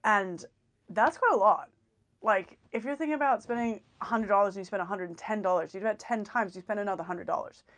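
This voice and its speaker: A woman's voice: mid-range voice, fairly quick, fairly bright tone. A woman hosting a personal finance live stream, talking in a rambling casual monologue with many fillers and repeated words, a little run down. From grew up in Hong Kong, Canada, Australia and New Zealand.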